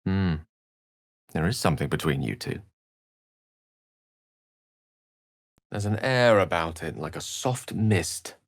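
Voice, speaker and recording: A wry, erudite wizard with a slightly low voice that moves through wide, theatrical swings of pitch. He speaks in polished, articulate phrases with an earnest streak, as a fantasy video game companion, recorded close and dry. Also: expressive, moderate pace, no room sound. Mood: wistful, observant. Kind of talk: scripted video game voice acting.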